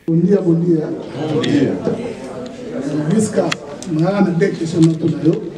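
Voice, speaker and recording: Loud high-voiced man asking questions. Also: unhurried, a crowd in the background, low-quality recording. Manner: loud, emphatic, calling out to the room. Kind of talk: press conference questions.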